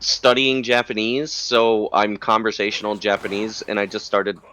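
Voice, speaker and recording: Chatty man, low pitch, bright forward tone. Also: level tone, normal speed, poor audio. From United States. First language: English. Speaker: guest chatting with the streamer. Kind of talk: casual chat.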